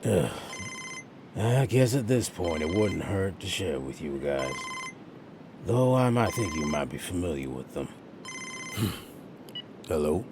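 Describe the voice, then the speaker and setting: Long-suffering, good-natured male agent: very low, unhurried, dry and weary; audio drama with rumbling noise. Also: big pitch swings, heavily acted. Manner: dry, groaning, understated.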